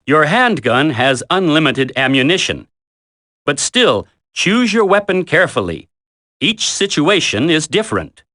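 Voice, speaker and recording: An actor playing a military instructor in a video game tutorial, coaching a recruit in a firm, deliberate, commanding way. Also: male voice, fairly high pitch, sing-song, sharp tone, exaggerated voice. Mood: instructive, firm.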